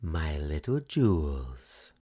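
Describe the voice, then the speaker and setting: A sly old wolf crooning low , as a man puts him on. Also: very animated, slow pace, dark tone, husky, exaggerated voice. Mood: sly coaxing. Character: cunning old male wolf posing as grandmother.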